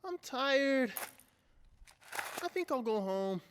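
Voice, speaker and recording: A woman's voice: high-pitched, lively intonation, slow, a little hiss or hum. A woman playing a skeptical, easygoing ten-year-old kid in an old-time radio drama, talking in folksy slang. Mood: reluctant. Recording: long hall-like reverb.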